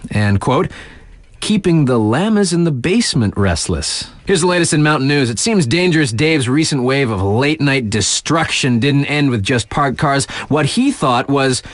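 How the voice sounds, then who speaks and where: An actor playing a laid-back, wry mountain-town radio DJ in a video game, reading mock local news with casual, slangy deadpan asides. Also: male voice, medium pitch, moderate pace, no echo, natural voice. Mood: amused.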